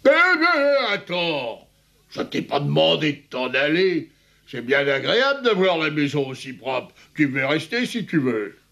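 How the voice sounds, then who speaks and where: An actor playing a grumpy but bashful cartoon dwarf with a gravelly, theatrical, flustered delivery. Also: male voice, fairly high pitch, sing-song, a little slow, bright, forceful tone, dull audio, full character voice. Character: grumpy, bashful lonely dwarf who clowns as announcer. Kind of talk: cartoon dub acting.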